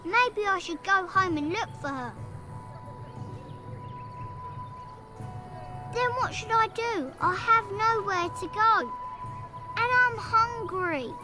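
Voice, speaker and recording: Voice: very high voice, animated, measured pace, over loud music. A performer voicing a lost, trusting little farm child in a video game, plaintive, innocent and hesitant. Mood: forlorn. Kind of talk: video game character dialogue.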